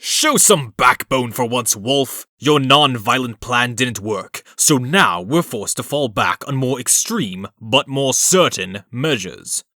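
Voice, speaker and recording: A man's voice: mid-range voice, animated, takes it slowly, hardly any pauses, sharp tone, no room sound, studio quality. A man playing a haughty, ruthless, wealthy villain in a comic dub, sneering and articulate. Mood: cold, goading.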